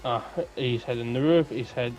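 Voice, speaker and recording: A man's voice: mid-range voice, normal speed, darkish tone, dull audio. Man chatting, music behind. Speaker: podcast co-host. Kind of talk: casual podcast chat.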